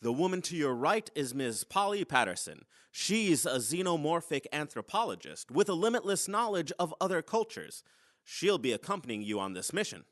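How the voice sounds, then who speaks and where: A man's voice: a little high, sing-song, normal speed, fairly bright tone, no echo, clean studio sound. Man playing a theatrical, swooping-voiced mission commander. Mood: brisk, amused. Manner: authoritative, theatrical. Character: commanding, smooth-talking mission leader with hidden scheme. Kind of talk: sci-fi audio drama acting.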